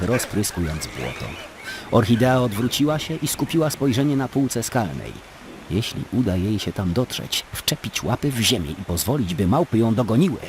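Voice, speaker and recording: Male narrator, medium pitch, dramatic scripted storytelling, expressive, audio drama, noisy background ambience.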